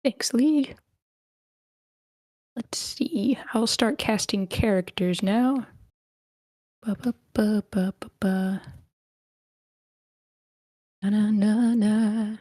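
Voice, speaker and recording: A woman's voice: mid-range voice, very slow, pauses often, warm tone, no echo, studio quality. A woman casually hosting an online script table read, with a playful manner.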